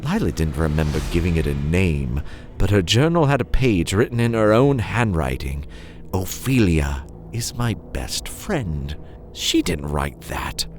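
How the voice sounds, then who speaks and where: A man hosting and narrating a horror story in a theatrical, suspenseful style, with playful asides and dramatic pauses. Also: low-pitched, big pitch swings, slight background noise.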